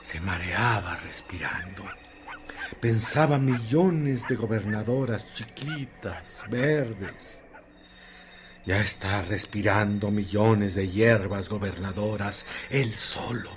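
A man narrates a radio drama at a very slow, measured pace. His voice is fairly low and dramatic, and clear noise sits in the recording.

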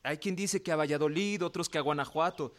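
A male actor as a young Creole son in a radio drama, with a Mexican accent. His voice sits a little high for a man. He comes across as earnest, stubborn and insistent. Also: fairly quick, close to an everyday voice. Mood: troubled. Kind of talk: Spanish-language radio drama acting.

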